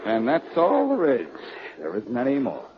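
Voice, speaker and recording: An actor playing a cocky, teasing amateur detective in 1940s radio style. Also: male voice, mid-range voice, huge pitch swings, normal speed, loud music behind the voice. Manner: breezy, teasing. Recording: muffled, very noisy old radio recording.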